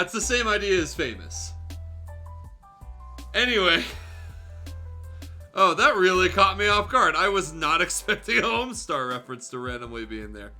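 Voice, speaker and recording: Animated bright-voiced man streaming. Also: sits high. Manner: animated, amused, profane. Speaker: streamer hosting a fanfiction reading. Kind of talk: livestream read-aloud with casual asides.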